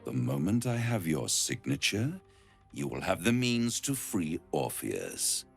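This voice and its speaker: An actor playing a suave, scheming devil in a video game, silky, grandiose and menacing, with music underneath. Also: male voice, sing-song, measured pace, heavily acted. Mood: silky menace. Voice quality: low, gravelly. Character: suave, scheming, gloating male devil making bargains. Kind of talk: dramatic video game acting.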